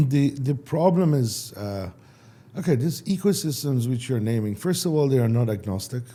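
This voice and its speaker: A man, a startup founder answering interview questions casually in halting, with many restarts. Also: mid-range voice, animated, warm tone. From Iran. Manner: halting, restarts, non-native phrasing.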